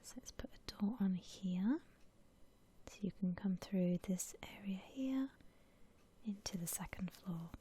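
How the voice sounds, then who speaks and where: A woman doing whispered ASMR commentary over a video game, gentle and unhurried in a breathy whisper. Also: medium pitch, slight background noise. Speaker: video game streamer narrating her building session.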